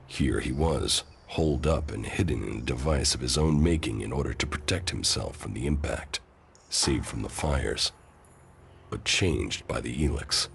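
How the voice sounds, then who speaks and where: A man playing a grim, stoic military commander in a post-apocalyptic sci-fi game, speaking in a gravelly, brooding, measured and solemn way. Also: a bass voice, a bit bright, a little hiss or hum, heavily acted. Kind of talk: dramatic video game acting and narration. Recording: music under the voice.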